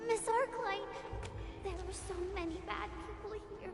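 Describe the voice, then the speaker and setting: A woman's voice: extremely high pitch, measured pace, creaky, music in the background, heavily acted. A woman voicing an earnest, innocent lost little girl in a video game, telling things in a simple childlike way. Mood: relieved, shaken. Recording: echoey reverberant game audio, noisy ambience.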